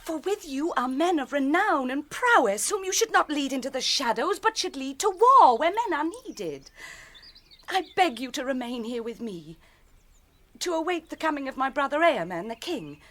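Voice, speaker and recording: Proud young warrior noblewoman, highish animated voice, impassioned formal speech, fantasy radio drama. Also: normal speed. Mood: pleading. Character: proud, passionate noble shieldmaiden, young and fierce. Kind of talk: classic radio drama acting.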